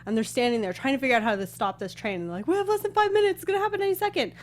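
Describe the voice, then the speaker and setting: A woman's voice: high voice, animated, racing. A woman co-hosting a film review show in casual, conversational chat.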